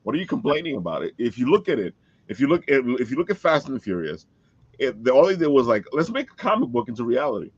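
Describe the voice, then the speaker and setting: A male host chats casually with a husky edge to his voice. His delivery is expressive and jokey, swinging widely in pitch. The audio is dry and muffled, like a low-fidelity web stream. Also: fast talker, no echo. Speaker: talk show host, writer. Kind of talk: casual chat.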